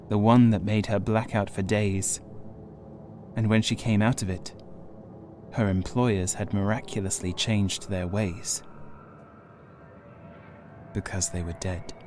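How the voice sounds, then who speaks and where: A man reading a horror audiobook as scripted dramatic narration, grave, measured and suspenseful. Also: lowish voice, noisy background. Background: music.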